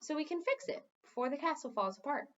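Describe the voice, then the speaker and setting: A woman's voice: high-pitched, animated, quick pace, muffled recording, voice pushed up. A woman voicing a blunt, informal king in a storybook, plain-spoken, wry and impatient. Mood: cheerfully dismissive.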